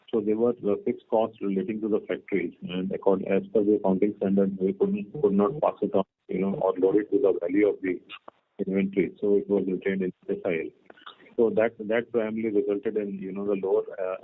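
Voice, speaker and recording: A man with an Indian accent answering questions as a company executive on an earnings call, explaining things in a halting way. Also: on the low side. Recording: muffled narrow telephone conference line.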